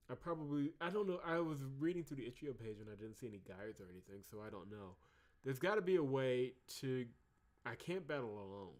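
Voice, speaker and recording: A man commenting on a blind video game playthrough, thinking aloud as he works out the puzzles. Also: medium pitch. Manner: thinks out loud, mutters through puzzles. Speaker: let's play host.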